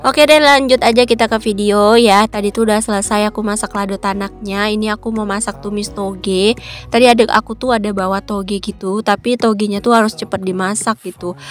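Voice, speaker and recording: A woman with a stuffy nose from a cold, chatting casually in a cooking vlog. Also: mid-range voice. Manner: chatty, many fillers. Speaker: vlogger, housewife.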